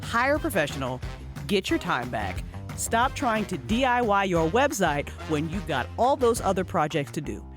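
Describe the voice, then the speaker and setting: A woman's voice: on the low side, big pitch swings, normal speed. A woman giving a conversational ad read as a web designer, coaxing and scolding listeners in a bossy, comic way. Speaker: web designer advertising own services. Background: music.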